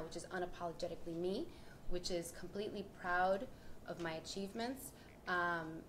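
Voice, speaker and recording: Woman panelist from New York City, slightly low voice, reflective and earnest, faint room noise behind. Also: poor audio. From New York City, United States. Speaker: panelist, artist. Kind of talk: reflective personal account at a panel discussion.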